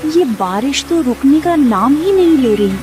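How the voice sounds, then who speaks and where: A woman's voice: high-pitched, lively intonation, unhurried. A woman playing a poor farmer's wife in a cartoon, lamenting in plaintive distress. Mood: despairing. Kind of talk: Hindi moral-story cartoon dialogue. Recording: music behind the voice.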